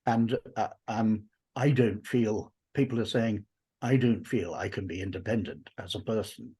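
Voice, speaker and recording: A man's voice: lowish voice, normal speed, warm tone, slightly rough. A man speaking as an interview guest in a conversational way, with a somewhat theatrical storytelling manner.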